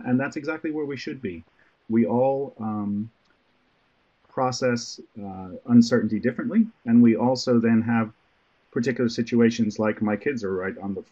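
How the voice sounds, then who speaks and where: A man's voice: lowish voice, mellow. Irish-born man with a Southern American accent. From Ireland. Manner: conversational, many restarts. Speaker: webinar presenter, professor. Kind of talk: webinar presentation. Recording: muffled online call audio.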